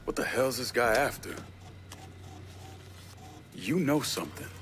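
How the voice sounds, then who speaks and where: An actor playing a tough, blunt man of action in a video game, businesslike and quick to swear. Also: medium pitch, sing-song, fairly bright tone. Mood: tense, urgent. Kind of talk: video game cutscene acting.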